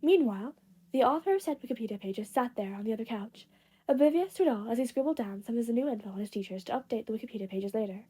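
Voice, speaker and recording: A woman's voice: on the high side, expressive, fast talker, warm tone, breathy edge. A woman reading fan fiction aloud as a scripted storytelling narration. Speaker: podfic narrator.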